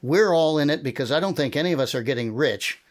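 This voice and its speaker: A man moderating a casual panel discussion, speaking in a musing, halting way. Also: fairly high pitch, expressive. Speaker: panel moderator and audio drama creator.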